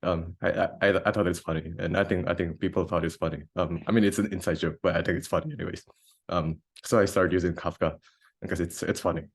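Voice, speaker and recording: A man's voice: low-pitched, fairly even intonation, quick pace, creaky, husky. A young man from Indonesia, a composer and grad student, introducing himself casually on a video call with many fillers and restarts. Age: young adult.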